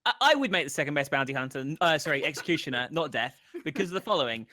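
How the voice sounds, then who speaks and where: Young man, high lively bright voice, playful boastful improv player. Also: rapid-fire. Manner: boastful, playful, laughing often. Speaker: player in an improv card game. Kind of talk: improvised pitch, joking.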